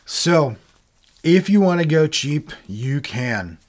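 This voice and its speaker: A man who is a bit sick, his voice lower than usual, explaining his video setup in a relaxed, chatty livestream. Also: slow pace. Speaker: video creator and worship leader hosting a livestream. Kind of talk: casual how-to livestream talk. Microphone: desk microphone, webcam video.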